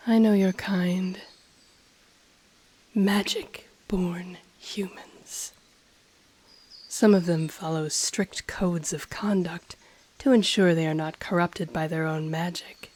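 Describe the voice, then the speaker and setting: A woman voicing an ancient, powerful dragon in a fantasy audio roleplay, haughty and intrigued, speaking formally in a breathy half-whisper. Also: lowish voice, steady tone, a little mellow. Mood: haughty, composed. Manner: slow, formal, deliberate, haughty. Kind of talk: scripted audio roleplay, intimate monologue to listener.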